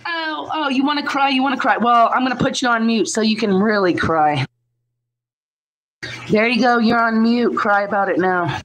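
A woman's voice: fairly high pitch, moderate pace, a bit bright. A middle-aged American woman rambling on a livestream in a profane, confrontational manner. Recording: noisy livestream replay with room ambience.

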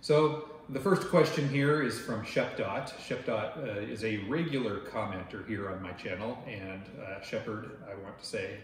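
A man in his forties from Oregon hosts an insect channel, chatting to the camera in a loud voice with lots of filler words. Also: on the low side, normal speed, hardly any pauses. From Oregon, United States. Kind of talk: casual talk to camera. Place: dining room at home, indoors. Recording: very echoey room, faint noise.

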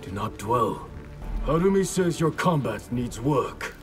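A man's voice: mid-range voice, very animated, measured pace. A man playing a stern, protective ninja clan leader in a video game, terse and grave. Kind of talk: scripted video game dialogue. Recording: music behind the voice.

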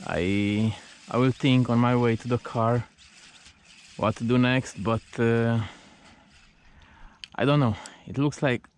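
A man's voice: medium pitch, fairly even intonation, takes it slowly, long pauses, a little hiss or hum. A man talking casually to camera outdoors as he films his own fishing vlog. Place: outdoors by a river.

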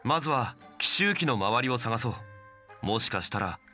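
An actor playing a calm, erudite gentleman in a video game, speaking formally and with measured composure. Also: mid-range voice, faint music behind. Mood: composed. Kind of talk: Japanese game voice acting.

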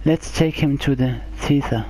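Slow, hesitant man commenting on a game. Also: medium pitch, dark tone, poor audio. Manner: hesitant, halting. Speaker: gameplay video host. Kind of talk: casual gameplay commentary.

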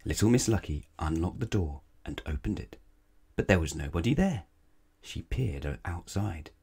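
A man's voice: sits low, animated, normal speed, darkish tone, slightly rough. A man reading a children's bedtime story aloud, dramatically, stretching and stressing words. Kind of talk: expressive read-aloud bedtime narration.

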